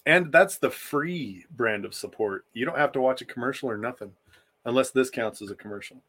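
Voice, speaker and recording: A man's voice: mid-range voice, a little fast, fairly bright tone, husky. A man co-hosting a casual fan discussion podcast, speaking informally with emphatic repetition and the odd swear word. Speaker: podcast co-host.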